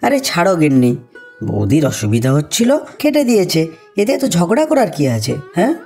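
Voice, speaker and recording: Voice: medium pitch, wildly swooping pitch, brisk, darkish tone, soft background music. A voice actor playing an easygoing, conciliatory younger village husband in a cartoon. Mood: placating. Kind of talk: Bengali cartoon voice acting.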